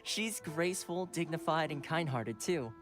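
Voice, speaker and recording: A courteous young gentleman retainer from a video game, speaking in a very lively, swinging pitch. He is playful and smooth-talking yet formal, with music playing behind him. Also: unhurried. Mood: warm, explanatory. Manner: polite, playful, formal phrasing. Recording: game dialogue over background music.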